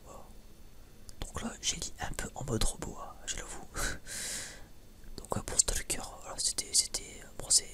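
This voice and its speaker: A man whispering a casual, rambling ASMR chat, informal and sweary, hesitant and often stammering over repeated words. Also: a little slow. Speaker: ASMR video creator.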